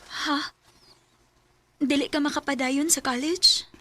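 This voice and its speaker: A woman's voice: sits high, fairly even intonation, takes it slowly, bright, forceful tone, full character voice. An actress playing a young pregnant student who pleads tearfully with her boyfriend in a radio drama. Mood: sad. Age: young adult. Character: young pregnant woman, student, hurt girlfriend.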